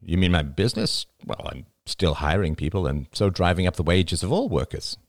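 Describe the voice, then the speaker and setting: A man's voice: low-pitched, normal speed, dry recording. Man playing a dry, composed, needling man. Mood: wry.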